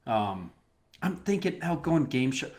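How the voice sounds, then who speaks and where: A man in his thirties co-hosting a podcast in casual chat, animated and quick to laugh. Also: a little high. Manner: animated, quick to laugh, does little quoted voices. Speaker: podcast co-host.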